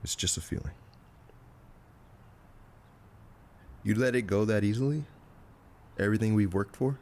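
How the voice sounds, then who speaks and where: A man's voice: on the low side, fast, a little mellow, lightly acted. A man acting a guarded, restless and loyal operative with a wry manner in an audio drama. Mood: uneasy, probing.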